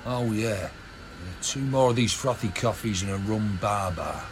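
A man playing a gruff out-of-town criminal with an English accent, terse and matey, in a comic radio drama. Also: fairly low pitch, expressive, a little slow, background noise. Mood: curt.

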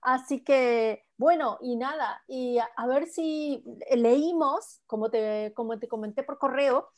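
A chatty Argentine woman speaks a little high over a video call link. She is friendly and loose, often restarting and looping back mid-sentence as she hosts a book club chat. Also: takes it slowly, darkish tone. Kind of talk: casual chat.

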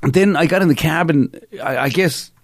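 A middle-aged man from Chile with a Chilean Spanish accent, hosting a talk radio show in an animated, rambling storytelling way. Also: fairly high pitch, normal speed. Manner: animated storyteller, many fillers and restarts. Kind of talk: casual opinionated talk radio chat.

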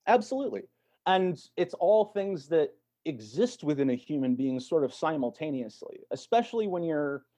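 A man speaking as an interview guest in a measured, reflective, explanatory way. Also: mid-range voice, darkish tone. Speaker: podcast guest, actor.

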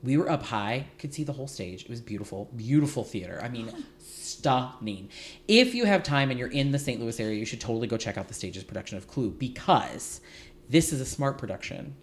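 A man hosting a podcast, telling stories in an animated, gossipy way with a slight lisp now and then. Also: medium pitch, fairly quick. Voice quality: light lisp at times. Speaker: podcast host. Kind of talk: casual chat.

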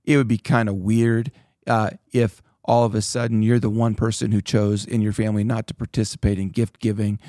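Animated man hosting a church podcast. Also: lowish voice, brisk, no echo, studio quality. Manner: animated, preachy emphasis, quotes scripture. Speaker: pastor and podcast host. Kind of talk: casual church podcast chat.

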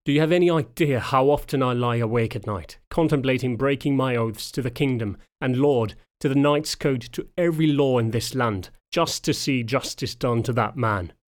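A man's voice: medium pitch, normal speed, hardly any pauses, close to an everyday voice. Man playing a restrained, dutiful wolfhound knight. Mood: anguished, restrained. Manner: restrained, formal, strained with guilt and anger. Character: lean, big, dutiful older male wolfhound knight.

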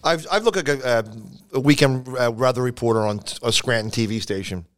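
A man's voice: mid-range voice, brisk, fairly bright tone. A man with a Philadelphia accent co-hosting a podcast, rambling through jokey stories and losing his train of thought. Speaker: podcast co-host. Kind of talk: casual chat.

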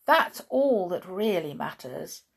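A woman voicing a kindly, gentle little fat man in a children's story, speaking earnestly and with sympathy. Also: on the low side, big pitch swings, slow pace, gravelly, husky, exaggerated voice. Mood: kind, encouraging.

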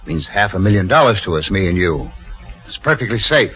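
An actor playing a coldly calculating, persuasive swindler in an old-time radio drama, commanding and pushy. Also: male voice, sits low, sing-song, brisk. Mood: cold, conspiratorial. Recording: dull old radio recording with music.

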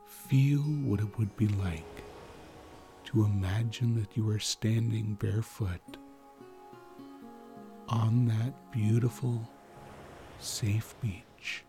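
A man narrating a guided sleep meditation in a soothing manner, leaving long pauses. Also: lowish voice, creaky, mellow, faint music behind.

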